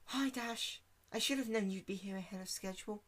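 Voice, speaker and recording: A man voicing a timid, gentle female cartoon pony in a soft, hesitant, polite way. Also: high-pitched, brisk, voice pushed up. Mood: gentle, eager.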